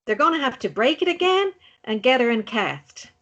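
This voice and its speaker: A woman's voice: fairly high pitch, sing-song, normal speed, fairly bright tone, heavily acted. Muffled actress as a caring mother. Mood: concerned. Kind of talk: acted screenplay table read. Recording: dull, lo-fi microphone sound.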